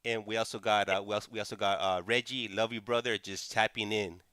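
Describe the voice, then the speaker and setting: A man hosting a casual live stream, speaking haltingly and often stammering over repeated words. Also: on the low side, level tone, bright, forceful tone, dry recording. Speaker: host of a call-in stream.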